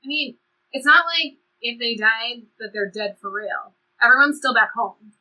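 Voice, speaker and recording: A woman's voice: fairly high pitch, normal speed, pushed and bright, poor audio. A woman playing a blunt, impulsive seventeen-year-old girl in a stage play reading. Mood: defiant. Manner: blunt, impulsive teenage speech.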